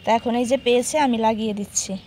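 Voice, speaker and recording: A woman's voice: on the high side, fairly even intonation, very fast, darkish tone. A young woman chatting casually with small children and viewers, coaxing with simple, repeated instructions. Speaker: mother filming a family vlog of young children. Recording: clear background noise.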